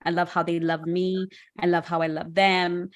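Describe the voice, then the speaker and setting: A middle-aged woman hosting a panel discussion on dating, speaking reflectively and pausing to restart her thoughts. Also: fairly low pitch, level tone. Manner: reflective, measured, with many restarts. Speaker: host.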